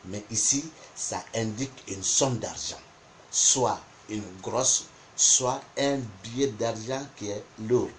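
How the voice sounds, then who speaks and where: A man whose first language is not French, teaching a spoken lesson on interpretation, deliberate and repeating points for emphasis. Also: on the low side, slight background noise, low-quality recording. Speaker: geomancy teacher.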